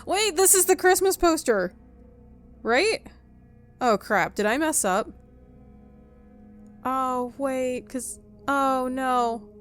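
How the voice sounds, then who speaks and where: A woman's voice: high-pitched, animated, slow, pauses often, faint music behind. A woman doing a live let's play, casually reacting and talking back to a game in a wry way. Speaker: game player.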